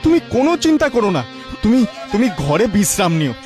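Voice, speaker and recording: A man's voice: high-pitched, big pitch swings, quick pace, music in the background. A man voicing a plucky, crafty young brother in a cartoon, with a pleading, singsong manner. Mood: earnest, pleading. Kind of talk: Bengali cartoon dubbing.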